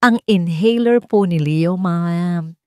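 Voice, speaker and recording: A woman's voice: lowish voice, slow pace, warm tone, clear, resonant tone, no echo. Woman acting an anxious, loyal Filipino housemaid. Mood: panicked. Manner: respectful, urgent. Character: loyal, anxious housemaid, deferential to her mistress.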